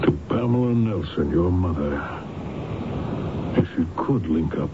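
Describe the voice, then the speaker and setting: An American actor playing an earnest, courteous, persistent lawyer and husband in a radio drama, speaking in a polite, measured, probing manner. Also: male voice, low voice, expressive. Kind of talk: old-time radio drama acting. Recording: noisy, narrow-band old radio recording.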